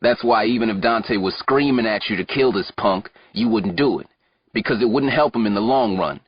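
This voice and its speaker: A man voicing a calm young basketball player in an audiobook, measured and persuasive. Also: lowish voice, slightly rough, dry recording, dull audio.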